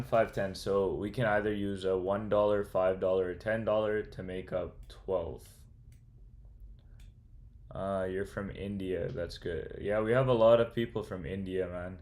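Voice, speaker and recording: A man's voice: low voice, darkish tone. A young man casually livestreaming as he works through a coding problem, thinking aloud and chatting with viewers in a laid-back, slangy way. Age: young adult. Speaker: streamer and computer science student.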